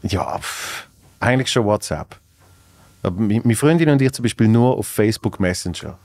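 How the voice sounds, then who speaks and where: A young man hosts a podcast in Basel Swiss German, his voice sitting fairly low. He talks hesitantly, repeating words as he gathers his thoughts, and laughs easily. He sits at a table in a dark podcast studio, close to a desk mic on a boom arm. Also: expressive, normal speed. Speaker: podcast host. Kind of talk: casual conversation.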